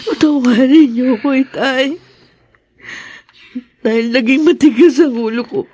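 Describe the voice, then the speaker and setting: A woman's voice: sits high, a little slow, dark tone, husky. A Filipino woman playing a grieving, embittered young wife and mother in an emotional radio drama. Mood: grieving, tearful. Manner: anguished, emotional delivery.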